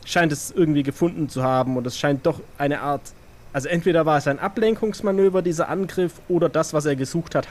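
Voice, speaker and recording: A man acts out an earnest male vampire reporting back in an audio drama. His voice is mid-range and everyday, with halting self-corrections. Faint noise and ambience sit behind him. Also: medium pitch, a little mellow, lightly acted. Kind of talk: audio drama acting, improvised feel.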